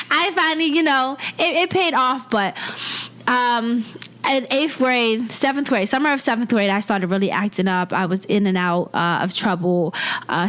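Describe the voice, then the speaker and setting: A woman's voice: medium pitch, a bit bright. A woman in her twenties, born in Vietnam, telling her life story in an interview with candid, blunt talk and frequent laughs. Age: 20s. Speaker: comedian guest.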